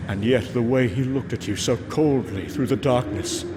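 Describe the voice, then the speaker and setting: A man voicing a brooding god who narrates in the first person, solemn and measured, in a scripted comic book drama. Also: medium pitch, noisy background, lightly acted. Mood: haunted, solemn. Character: brooding male god recalling a haunting horror. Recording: dramatic music behind the voice.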